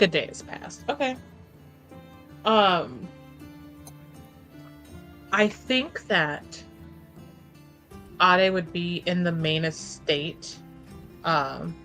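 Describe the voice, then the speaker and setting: A woman with a bright, slightly low voice talks through her roleplaying moves. She goes slowly and informally, with halting phrasing, over soft music. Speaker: tabletop game player. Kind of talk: casual improvised roleplay chat.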